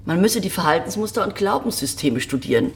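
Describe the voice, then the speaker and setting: An actress playing a blunt, disillusioned museum director in a German radio drama, speaking in a matter-of-fact, sardonic way. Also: female voice, lowish voice, expressive, a little fast, darkish tone. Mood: melancholic, bitter. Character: blunt, disillusioned female museum director explaining her collection. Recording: roomy, echoing archive-like acoustic.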